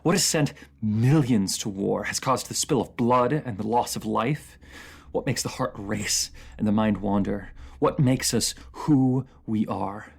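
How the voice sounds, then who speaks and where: A man's voice: lowish voice, measured pace, gravelly, slightly rough, exaggerated voice. A man playing an anguished, proud enemy ruler in a fantasy audio roleplay, speaking in formal, theatrical phrases that halt with emotion. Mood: bitter, challenging. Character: captive enemy ruler, anguished, proud, lovesick, under truth potion.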